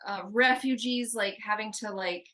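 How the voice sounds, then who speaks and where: A woman's voice: medium pitch, moderate pace, a bit bright. A woman working as a medium, relaying a spirit's answers in casual talk, hesitant and full of fillers. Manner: hesitant, filler-heavy, relays in third person.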